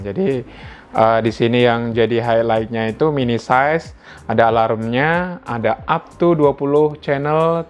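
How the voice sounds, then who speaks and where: A man with an Indonesian accent giving a casual, conversational unboxing narration as a product reviewer, explaining things informally. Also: medium pitch. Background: background music.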